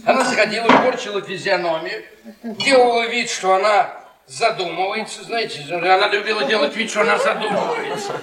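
An actor playing a bitter, mocking middle-aged professor and henpecked husband in a theatrical radio play, often repeating words insistently. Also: male voice, high-pitched, very animated, brisk, over a noisy crowd. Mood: sardonic toasting. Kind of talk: Russian radio theatre acting. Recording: echoey stage, distant, loud audience.

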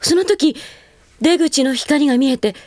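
Proud elder-sister heroine, high female voice, poised, commanding, theatrical anime drama. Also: a little slow, darkish tone. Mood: gentle, relieved.

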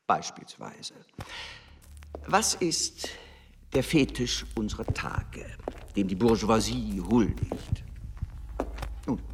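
Slow, swooping, condescending embassy secretary. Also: male voice, lowish voice, a little hiss or hum, exaggerated voice. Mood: coolly insinuating. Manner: theatrical, condescending, drawn-out emphasis. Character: cynical, smug, imperious embassy secretary in Edwardian London. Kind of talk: radio drama acting.

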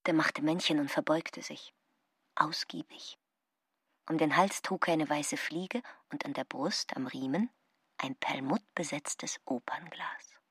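Wondering female observer, deep voice, breathy half-whisper, dry sound, radio drama. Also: a little slow, fairly bright tone, no echo, full character voice. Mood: hushed, observing. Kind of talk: radio play acting.

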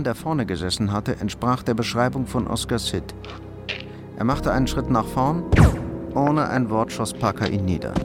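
A man reading scripted narration for a radio crime drama. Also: mid-range voice. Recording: loud music behind narration.

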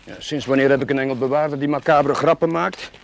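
A man's voice: mid-range voice, fairly even intonation, fast talker, gravelly, a little hiss or hum, close to an everyday voice. A man playing an earnest, stubborn young village curate in a television drama, slightly defensive in manner. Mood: irritated. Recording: 1970s television drama soundtrack.

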